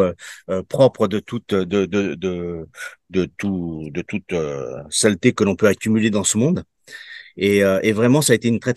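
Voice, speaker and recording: A man in his fifties, from Portugal, giving a testimonial in an interview and answering haltingly with many fillers and restarts. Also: lowish voice, no echo, clean studio sound. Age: 50s. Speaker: program participant giving a testimonial.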